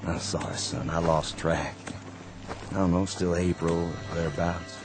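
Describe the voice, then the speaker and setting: A man playing a tired, kindly Confederate sergeant, speaking gently and plainly in a radio drama. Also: low-pitched, level tone, a little slow, music in the background. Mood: weary, kindly. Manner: gentle, fatherly, plain-spoken. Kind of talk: 1960s-style radio drama acting.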